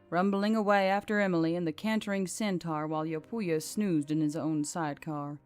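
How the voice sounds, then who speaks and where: Woman, medium pitch, scripted story narration, background music. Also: no room sound. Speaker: audiobook narrator.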